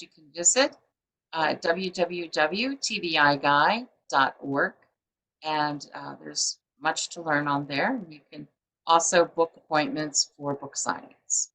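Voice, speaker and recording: Low-voiced, forceful woman host. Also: unhurried, slight background noise, low-quality recording. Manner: chatty, rambling, many fillers. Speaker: publisher hosting a book show. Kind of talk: casual interview chat. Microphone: video call.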